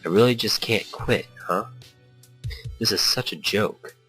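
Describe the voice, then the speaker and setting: A man with a low voice reads a visual novel aloud as a let's-play host. He stumbles over lines now and then and breaks off for unscripted comments. Soft music plays underneath. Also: a little slow. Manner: stumbles over words while reading.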